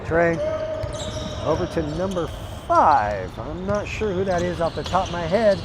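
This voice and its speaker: A hoarse man calling a live basketball game play by play, energetic and chatty, climbing into excited calls. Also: on the high side, unhurried, noisy background. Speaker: play-by-play commentator. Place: arena broadcast position.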